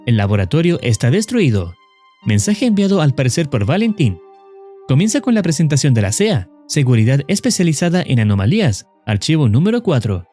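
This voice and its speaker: A man with a slightly high but soft, mellow voice narrates a scripted horror explainer. His measured storytelling moves through a lively range of pitch. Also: faint music behind.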